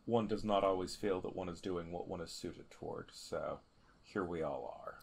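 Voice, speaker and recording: A man with a very low voice plays a tabletop game in character. His phrasing is formal and deliberate. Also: vocal fry, slightly rough. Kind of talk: in-character roleplay.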